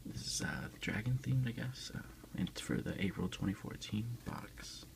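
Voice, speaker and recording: A man with a deep, creaky voice talks softly and casually in an ASMR style, often dropping into a whisper. He sounds like a host showing items to the viewer. Clear noise and small clicks sit behind his voice. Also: normal speed. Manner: hushed, often slipping into whispers. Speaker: unboxing video host. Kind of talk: soft-spoken ASMR, casual unboxing chat.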